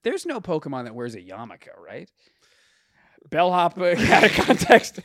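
New York-accented male co-host; high, lively, crude joker, dry sound. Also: no room sound. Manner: crude, jokey, quick riffing. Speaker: podcast co-host. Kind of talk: casual comedic banter.

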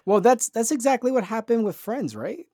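A man's voice: extremely high pitch, big pitch swings, moderate pace, warm tone, no room sound, studio quality. A young man hosting a podcast in loose, rambling casual chat, full of fillers. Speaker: podcast host.

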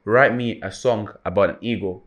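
A young university student co-hosting a casual chat, talking in a relaxed way with fillers and repeated words. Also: male voice, on the low side, moderate pace, lo-fi sound. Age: young adult. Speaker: co-host.

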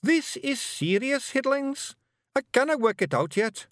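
A man's voice: very high voice, sing-song, unhurried, dry recording, clean studio sound, pitched above the performer's own voice, heavily acted. A man voicing a very young, small fairy-folk bard, earnest, anxious and respectful, with a Scottish accent in a storybook. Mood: puzzled. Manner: earnest, nervous, deferential.

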